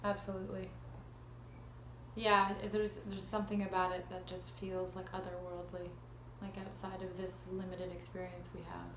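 A woman's voice: lowish voice, monotone, normal speed. A woman in her thirties with a Canadian accent, chatting casually as an interview guest in a self-deprecating, hesitant way. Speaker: actress being interviewed. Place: living room at home. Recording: echoey room with ambient noise.

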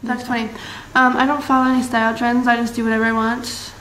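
A woman's voice: fairly high pitch, little pitch movement, quick pace, fairly bright tone. Bubbly teen girl vlogging, roomy echo. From Massachusetts, US. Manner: bubbly, rambling, lots of fillers and likes. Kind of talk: casual chat to camera. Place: bright room indoors. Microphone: built-in camera mic, close webcam framing. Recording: roomy echo, faint background hiss.

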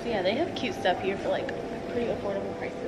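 A young woman talking casually to her camera, a little nasal from a cold and sprinkling "like" through every sentence. Her voice sits at a middle pitch, recorded up close from arm's length. Clear music plays underneath. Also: fast. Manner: chatty, many "like" fillers. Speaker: vlogger. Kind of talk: casual travel vlog. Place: hotel room and shop, indoors. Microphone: handheld camera at arm's length.

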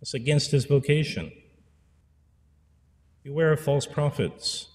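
A man's voice: fairly high pitch, expressive, a little slow, big-room sound. A man in his forties, a priest giving an earnest pastoral sermon at a pulpit microphone, repeating phrases for emphasis. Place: church sanctuary. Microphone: stand mic at pulpit.

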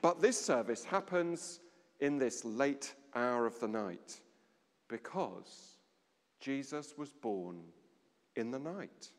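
A middle-aged man giving a sermon at a lectern in a large stone church, measured, with dramatic pauses and a voice that drops low. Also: animated. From England. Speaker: vicar. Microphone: standing at a lectern, far camera. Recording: long church reverb.

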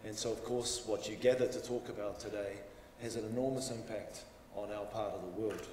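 A man in his forties at a lectern, giving a measured, formal welcome speech with phrases that trail off. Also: on the low side, steady tone, darkish tone. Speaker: university welcome speaker. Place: conference room, at a lectern. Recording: roomy hall reverb, distant mic, faint hiss.

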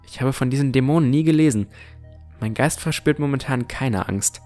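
An actor playing a puzzled but determined young armored swordsman who narrates his fantasy audio drama in a measured, introspective way. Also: medium pitch, a little mellow, lightly acted. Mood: puzzled, resolute. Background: music.

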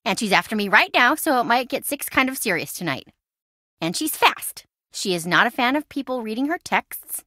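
A woman's voice: a little high, sing-song, normal speed, bright, forceful tone, no echo, studio quality, full character voice. An actress voicing a chatty, impulsive young woman in a video game, breezy, wry and self-dramatizing. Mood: breezy, dramatic. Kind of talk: video game voice acting, conversational.

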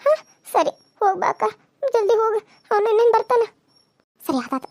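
A woman playing a very high, panicky village woman. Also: lively intonation, darkish tone, dry recording. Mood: fretful. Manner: wailing, pleading, fast. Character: frightened village women pleading with a bully.

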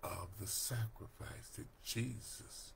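An older man reading a Bible study lesson aloud from home, slow, deliberate and earnest, recorded on a webcam mic. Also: low-pitched, lively intonation, gravelly, a little hiss or hum. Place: home room, indoors. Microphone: webcam at desk.

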